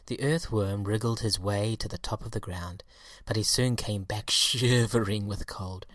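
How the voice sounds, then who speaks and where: A man's voice: lowish voice, unhurried, a bit bright, no room sound. Man narrating a children's story, dry sound. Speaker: audiobook reader.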